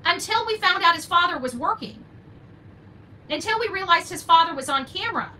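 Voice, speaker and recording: A woman's voice: extremely high pitch, lively intonation, a little fast, bright, forceful tone, low-quality recording. An older woman hosting unscripted opinion commentary, emphatic and repeating key phrases for stress. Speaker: true-crime commentary host.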